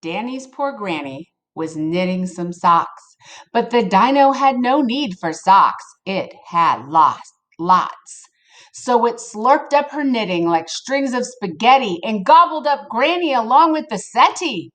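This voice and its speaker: A woman reading a picture book aloud to children in an expressive, playful way, with casual asides to her listeners. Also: fairly high pitch, takes it slowly. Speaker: storybook reader for children.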